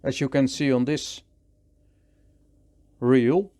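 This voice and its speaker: Slow, calm Dutch-accented repair man. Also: medium pitch. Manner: calm, thinking aloud while working. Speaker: repair technician. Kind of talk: hands-on repair tutorial.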